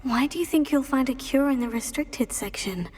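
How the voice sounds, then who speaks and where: A woman's voice: high voice, moderate pace, darkish tone. A woman playing a curious, composed teenage witch in a fantasy video game. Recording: music behind the voice.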